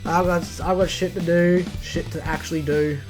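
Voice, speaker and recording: Higher-voiced Australian man chatting over faint music. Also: little pitch movement, moderate pace. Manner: sweary, informal. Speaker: podcast co-host. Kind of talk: casual off-the-cuff podcast chat.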